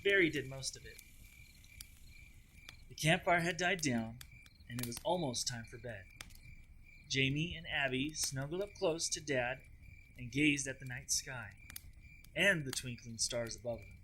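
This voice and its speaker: A man's voice: mid-range voice, a little slow, bright, forceful tone, slight background noise, rough recording. A man hosting a children's read-aloud, narrating in a dramatized storytelling style. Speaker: children's story reader, host. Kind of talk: dramatized scripted narration, friendly sign-off to young viewers.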